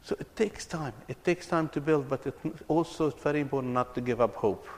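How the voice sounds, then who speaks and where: A man's voice: mid-range voice, fairly quick, darkish tone. A man from Palestine, telling stories earnestly to an audience and often repeating his words. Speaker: ministry speaker on reconciliation. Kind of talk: speech to an audience. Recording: long hall reverb.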